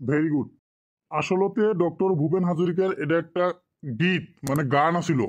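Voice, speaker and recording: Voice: mid-range voice, moderate pace, lightly acted. A performer voicing a strict male village schoolteacher in a cartoon, instructing pupils in colloquial speech. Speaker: teacher. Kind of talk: cartoon classroom lesson, recitation.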